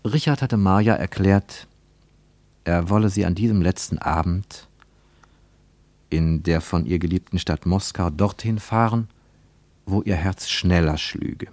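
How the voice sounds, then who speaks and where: An actor narrating a literary radio play as a grave, reflective storyteller in a measured manner. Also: male voice, low-pitched, a little mellow, dry recording. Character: grave, reflective male storyteller of a travel tale.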